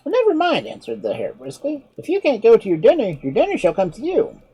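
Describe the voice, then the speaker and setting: A woman voicing a brisk, scheming female hare in a storybook. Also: mid-range voice, huge pitch swings, dark tone, breathy edge, pitched above the performer's own voice, heavily acted.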